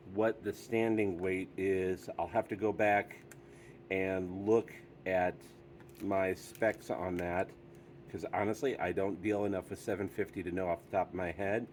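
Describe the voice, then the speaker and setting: A man's voice: sits low, takes it slowly, a little mellow, a little hiss or hum. A man hosting a casual product review, talking in an easygoing, chatty way with frequent fillers.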